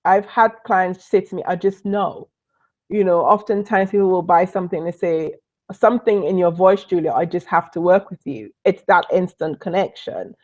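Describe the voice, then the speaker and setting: A woman from Trinidad with a Trinidadian accent mixed with British tones, giving a lively live online business training and repeating key points for emphasis. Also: on the low side, moderate pace, muffled recording. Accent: Trinidadian, mixed with British and Northern Irish. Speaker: business coach.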